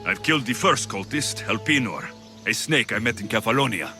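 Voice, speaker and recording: An actor voicing a bold, blunt young Spartan mercenary hero in a video game drama, with music behind. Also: male voice, on the low side, expressive, a little fast. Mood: determined.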